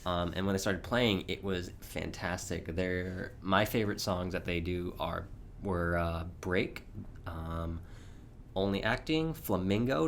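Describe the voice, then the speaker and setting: A slightly hoarse young man hosting a casual solo podcast, rambling chattily with frequent chuckles. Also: low-pitched, slight background noise. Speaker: podcast host.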